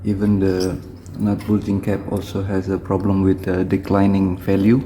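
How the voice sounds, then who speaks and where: A man with an Indonesian accent giving a hands-on repair tutorial in halting, simple phrases. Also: deep voice, monotone, dark tone, a little hiss or hum. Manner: halting, short phrases in simple non-native English.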